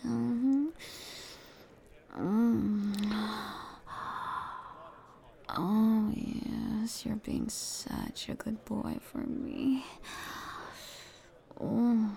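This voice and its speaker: A woman whispers very slowly and close, in an ASMR-style roleplay. Her voice has a heavy gravelly fry and a dark, mellow tone. She sounds soothing and coaxing, with soft murmured hums. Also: on the high side. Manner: coaxing, soothing, playful, with pet names.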